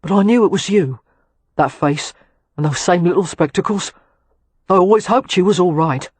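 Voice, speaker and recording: A woman voicing a shy young working-class man from South London, awkward and hesitant, with a thick South London accent and a breathy voice. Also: lowish voice, normal speed, darkish tone, no room sound. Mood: earnest. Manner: awkward, hesitant, plain-spoken.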